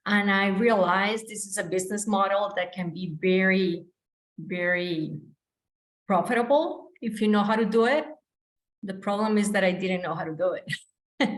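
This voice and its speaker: A woman speaks earnestly at a middle pitch, her voice bouncing around a roomy, echoey space on a remote call. She laughs lightly between phrases as she tells her story. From Costa Rica. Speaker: guest sharing her story.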